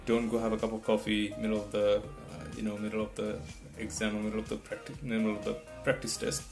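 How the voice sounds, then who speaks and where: A man's voice: on the low side, completely flat delivery, fast, vocal fry. A man whose first language is not English gives rambling test-prep advice, restarting often and checking in with "right?". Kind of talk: casual advice talk to camera. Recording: guitar music in the background.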